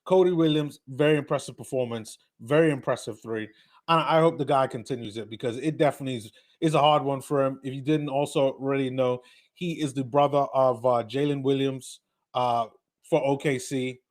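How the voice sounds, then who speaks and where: A man with a British accent blended with North American, hosting a casual live watch-party stream, chatting with viewers and getting excited on big plays. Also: mid-range voice, brisk. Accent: British mixed with North American. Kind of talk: live casual game commentary and chat.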